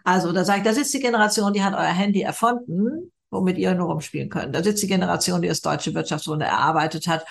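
An older woman, a guest in a conversational interview, telling stories in a lively, emphatic way. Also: fairly low pitch, quick pace, gravelly, hardly any pauses.

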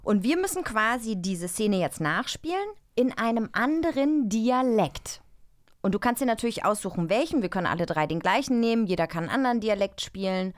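A woman's voice: mid-range voice, animated, fairly quick, dry recording, studio quality. A woman co-hosting a casual podcast chat in a teasing, bantering way. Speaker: podcast co-host.

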